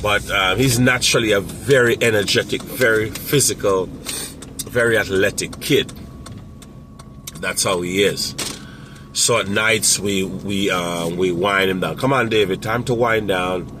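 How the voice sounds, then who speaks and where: A man talking casually to camera as a parent coach, rambling with many fillers and restarts, with a strong, loud voice. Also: on the low side, measured pace, background noise.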